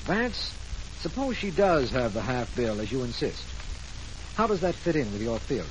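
An earnest district attorney played by a man in a 1940s radio mystery. His voice sits at a middle pitch, plain-spoken and businesslike, often questioning. Faint hiss runs under the old recording. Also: sing-song, moderate pace, close to an everyday voice, low-quality recording. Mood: puzzled. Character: earnest, businesslike male district attorney, puzzled but cooperative. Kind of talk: 1940s radio drama acting.

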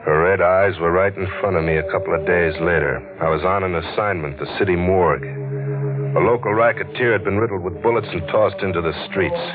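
A male actor plays a world-weary crime newspaperman in a 1940s radio noir. His voice is very low, with a terse, hard-boiled weariness. It comes through a muffled vintage recording with music behind. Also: fairly even intonation, a little fast, close to an everyday voice. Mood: weary, brooding.

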